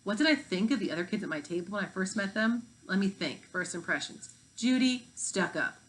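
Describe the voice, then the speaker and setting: A woman's voice: mid-range voice, expressive, fairly quick, fairly bright tone, slight background noise, lightly acted, rough recording. A woman voicing a cocky, blunt fifth-grade boy in a storybook, slangy and emphatic. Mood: dismissive.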